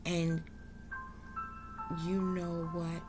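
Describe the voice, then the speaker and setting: A woman's voice: fairly low pitch, monotone, very slow, music in the background. A woman from South Carolina, talking earnestly and unhurriedly in a reflective vlog monologue. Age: adult. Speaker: vlogger.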